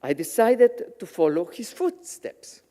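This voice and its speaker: Slow-spoken man from Mexico, reverberant chapel. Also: sits high, sing-song, mellow. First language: Yiddish. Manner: slow, measured, emotional storytelling. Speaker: guest speaker at a Shabbat service. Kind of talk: personal testimony speech. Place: synagogue chapel.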